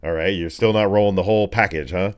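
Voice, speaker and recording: A man's voice: low voice, quick pace. A man running a tabletop roleplaying game as game master, narrating casually with slangy descriptions and vocal sound effects.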